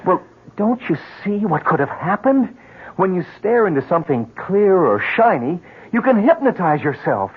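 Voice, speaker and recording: An anxious, earnest friend trying to reason with someone dangerous. His voice is high and husky, with nervous, halting delivery. The sound is a narrow, dull 1970s radio play recording. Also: sing-song, full character voice. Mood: pleading, reasoning. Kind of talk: 1970s radio drama acting.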